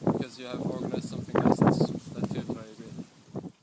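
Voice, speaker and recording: Casting: male interviewee from Luxembourg, average pitch, informal, heavy background noise. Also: little pitch movement, normal speed. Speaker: youth organization representative. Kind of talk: casual interview answer. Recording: loud windy background noise, clicks.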